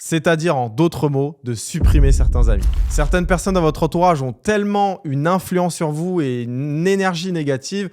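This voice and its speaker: A man's voice: on the high side, moderate pace, hardly any pauses, a little mellow, slight background noise. A man in his twenties from Algeria, giving a direct, emphatic motivational talk straight to the viewer. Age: 20s. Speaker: entrepreneur vlogger.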